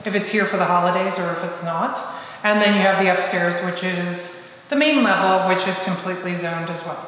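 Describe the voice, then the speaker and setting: Woman in her 50s, slightly low voice, keen and chatty, asking questions on a home tour, room echo. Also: a little fast, fairly bright tone, a little hiss or hum. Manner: enthusiastic, asks leading questions, affirms often. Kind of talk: casual house tour chat. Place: large empty basement room, indoors. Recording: echoey empty room.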